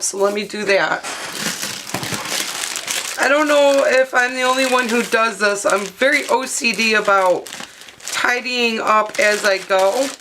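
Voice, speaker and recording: A hoarse woman in her thirties, her voice partly lost, chatting casually with many fillers as she talks through a craft tutorial at her home studio desk. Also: medium pitch, sharp tone, a little hiss or hum. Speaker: craft video host. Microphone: overhead camera mic, speaker off-frame.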